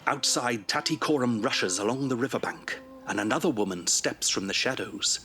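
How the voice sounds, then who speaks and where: A man's voice: medium pitch, expressive, normal speed, over music, natural voice. A man giving scripted dramatic narration as the narrator of an audio drama.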